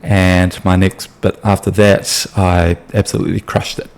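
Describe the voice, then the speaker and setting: Brief: young New Zealand man, deep voice, relaxed chatty explainer delivery. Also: measured pace, clear, resonant tone. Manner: loose and chatty, with many ums and restarts. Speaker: sales consultant. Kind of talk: casual explainer video.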